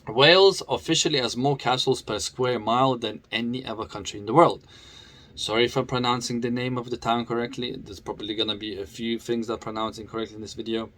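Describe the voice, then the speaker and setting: A man's voice: mid-range voice, fairly even intonation, fast, fairly bright tone. A man with a foreign accent who grew up in the UK, chatting casually to camera as a motorcycle vlogger, laughing often and restarting his sentences.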